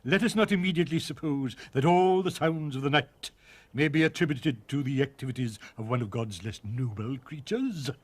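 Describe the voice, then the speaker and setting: A man voicing a pompous, long-winded male parson who talks slowly and grandly. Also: medium pitch, slightly rough, lightly acted. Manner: pompous, wordy, slow and measured.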